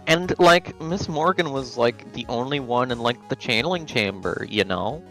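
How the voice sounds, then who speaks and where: A man voicing a ditzy, evasive young woman on the witness stand in an amateur game fan dub, halting like a valley girl. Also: medium pitch, expressive, a bit bright, soft background music, rough recording. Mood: defensive, ditzy.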